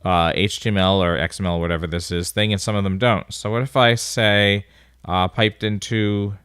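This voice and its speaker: A man's voice: lowish voice, steady tone, normal speed, a bit bright, smooth, dry recording, studio quality. A man with a nasal voice casually talking through a coding tutorial, thinking aloud as he types commands. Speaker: instructor. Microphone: voice-over on a screen recording.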